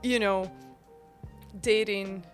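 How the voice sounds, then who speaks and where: Young woman, Ukrainian accent, casual chat, lots of fillers, medium pitch. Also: steady tone, very slow, soft background music. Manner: chatty, many fillers, non-native grammar. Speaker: guest, bakery founder.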